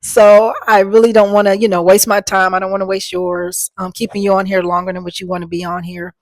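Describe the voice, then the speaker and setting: A woman in her fifties speaking in a soft, slightly worn voice that doesn't carry far. She rambles earnestly at a middling pitch, addressing her viewers as folks. The sound is close and dry, picked up by a small microphone. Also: flat delivery, a little fast, dry recording. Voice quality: soft voice that does not carry. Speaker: vlogger. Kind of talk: casual talk to viewers.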